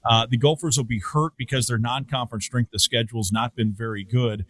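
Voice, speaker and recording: A man who calls radio play-by-play, a guest answering questions in a measured, explanatory way. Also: medium pitch, fairly even intonation, fairly bright tone. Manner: measured, explains carefully with restarts. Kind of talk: interview answer.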